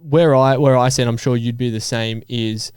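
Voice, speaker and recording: An Australian man in his twenties in casual podcast conversation, informal and a little halting as he thinks aloud. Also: medium pitch, fairly even intonation, darkish tone, smooth, no echo, studio quality. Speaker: podcast host and elite athlete.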